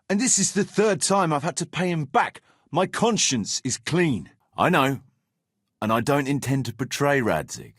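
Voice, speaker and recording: Casting: self-serving mercenary leader, medieval game. Pragmatic, sardonic, mid-pitched with a highly varied melody, recorded dry. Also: male voice, husky, dry recording. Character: wary, self-serving male mercenary captain in a medieval world. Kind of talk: video game character dialogue.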